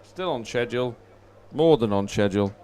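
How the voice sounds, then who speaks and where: A man's voice: medium pitch, animated, moderate pace, warm tone, slight background noise. A man giving live, unscripted sports commentary in a chatty, hesitant, explanatory way.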